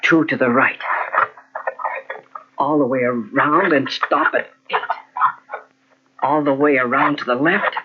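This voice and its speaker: An actor playing an anxious, weak-willed gentleman, flustered and exclamatory, in an old-time radio mystery. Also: mid-range voice, fairly even intonation, slow pace, long pauses, heavy background noise, natural voice. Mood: wavering. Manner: flustered, exclamatory, talking to himself. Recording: dull lo-fi old radio cassette recording.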